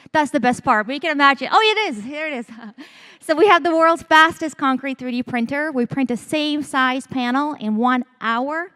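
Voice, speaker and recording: A woman from Ukraine, a company founder, giving a lively conference pitch talk on stage. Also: clean tone. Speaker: startup founder and CEO.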